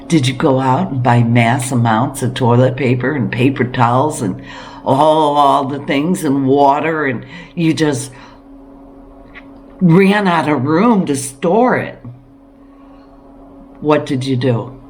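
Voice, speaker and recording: A woman's voice: a bass voice, a little hiss or hum. A woman hosting a homesteading video, talking straight to viewers in an earnest, emphatic way with rhetorical questions. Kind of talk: direct-to-viewer preparedness talk.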